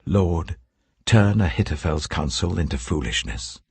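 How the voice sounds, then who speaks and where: Man acting a very deep, husky, weary king. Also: animated, unhurried, darkish tone, dry recording, exaggerated voice. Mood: grave, commanding. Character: weary, sorrowful old king, commanding yet resigned.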